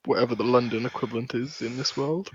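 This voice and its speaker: A man's voice: mid-range voice, fairly even intonation, quick pace, slight background noise. A man playing in a tabletop game, talking casually and asking lots of questions. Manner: chatty, asks lots of questions. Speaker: player. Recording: dull lo-fi voice chat mic.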